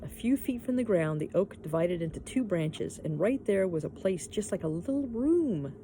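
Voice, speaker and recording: A woman's voice: mid-range voice, animated, normal speed, mellow, a little hiss or hum, rough recording. A woman narrating a children's story expressively, like a teacher reading aloud.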